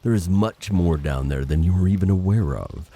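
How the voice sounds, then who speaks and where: A man playing a vengeful, grimly mocking siren in an audio drama, with a damaged, gravelly, husky voice. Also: low voice, expressive, moderate pace, warm tone, full character voice. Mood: grim, resentful.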